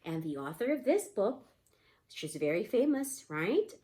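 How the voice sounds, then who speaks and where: Slow, very animated female preschool teacher. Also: medium pitch. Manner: simple explanations with repeated questions and prompts. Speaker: pre-K teacher. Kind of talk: read-aloud lesson for young children, asking questions.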